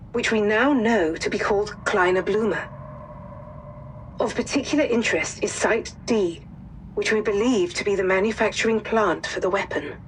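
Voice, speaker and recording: An actress playing a formal wartime intelligence officer, delivering a measured, precise mission briefing. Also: female voice, mid-range voice, slight background noise, close to an everyday voice, rough recording. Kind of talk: scripted mission briefing narration.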